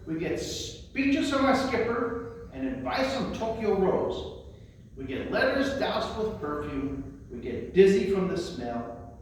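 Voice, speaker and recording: Male poetry reader, notably high voice, theatrical emphasis, heard from a distance in a very reverberant space. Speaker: librarian presenting a poetry reading. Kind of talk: poetry recitation with spoken introductions. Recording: roomy echo, distant mic, faint noise.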